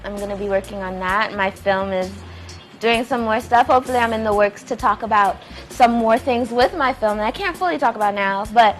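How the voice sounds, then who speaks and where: A woman's voice: fairly high pitch, brisk, soft background music. A woman, a playwright and director, talking conversationally as an interview guest.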